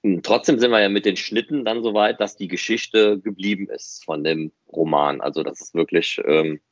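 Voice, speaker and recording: A man's voice: low-pitched, normal speed, dry recording. A man co-hosting a fan podcast, explaining things in a casual, conversational way. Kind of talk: casual explanatory chat about a hobby production. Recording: slightly dull, dry close recording.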